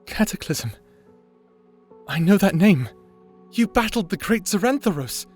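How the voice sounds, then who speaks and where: A performer playing an insecure, emotional young male wizard, earnest and halting, in a fantasy audio roleplay. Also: mid-range voice, very animated, slightly rough, soft background music. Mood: wary, startled. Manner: halting, stammering, pleading.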